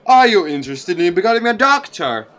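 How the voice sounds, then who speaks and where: A man's voice: high-pitched, sing-song, fairly quick, fairly bright tone. A man voicing a friendly male surgeon in a visual novel, encouraging and salesmanlike, with music behind. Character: friendly male heart surgeon recruiting students.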